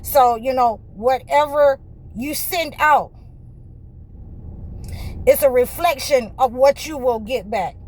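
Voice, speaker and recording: A woman spiritual healer preaching to her viewers in a fervent, repetitive way, full of restarts and "you know" fillers, and sometimes rising close to a shout. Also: high-pitched, slow, long pauses, a little hiss or hum, poor audio. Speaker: spiritual healer and channel host.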